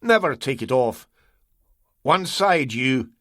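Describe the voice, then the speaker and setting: A rough, desperate Scotsman with a gruff, clipped way of talking, as a male reader acts him out. His Scottish accent is broad. The voice is strained and recorded dry and close. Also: mid-range voice, lively intonation, slow, fairly bright tone, no room sound. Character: desperate, rough-spoken Scottish man in withdrawal.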